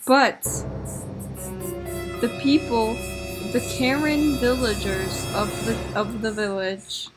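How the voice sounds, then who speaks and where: A woman improvising comic stories for a puppet show in a giggly, halting way. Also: a little high, steady tone, music in the background. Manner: giggly, halting, making it up as she goes.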